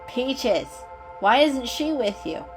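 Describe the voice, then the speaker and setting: A cheeky, confident young male house cat, voiced by a woman, talks in a breezy, casual storybook style. The voice is highish and very animated, with faint music underneath. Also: slow. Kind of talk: dramatic children's story reading.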